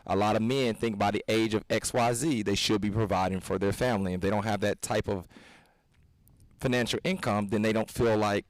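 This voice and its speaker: A man's voice: lowish voice, steady tone, brisk, no room sound, poor audio. A man in his thirties co-hosting a fitness podcast, talking in an earnest, preachy way that rambles and restarts. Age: 30s. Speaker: podcast co-host, fitness coach. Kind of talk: casual podcast discussion.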